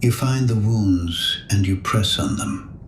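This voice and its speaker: An actor playing a weary, guilt-haunted elderly priest in an audio drama, quiet and measured. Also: male voice, fairly low pitch, reverberant, a little hiss or hum. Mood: steady. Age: older.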